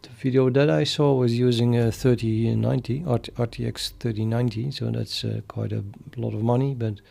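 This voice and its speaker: Gravelly Dutch-accented man thinking aloud. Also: medium pitch, level tone, warm tone. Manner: thinking aloud, halting with many fillers. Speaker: programming livestreamer. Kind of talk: casual live-stream chat.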